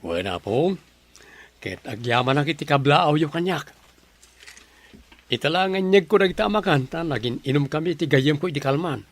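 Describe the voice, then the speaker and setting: A man playing a polite young grandson in a radio drama, with an Ilocano accent and a soft-spoken manner. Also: fairly high pitch, lively intonation, normal speed, pauses often. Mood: polite, earnest.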